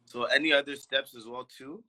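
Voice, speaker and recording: Laid-back male host with a bright, middle-pitched voice, asks questions casually. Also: steady tone, moderate pace, rough recording. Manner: laid-back, calls his guest "brother" and "man". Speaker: podcast host asking questions. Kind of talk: casual interview chat.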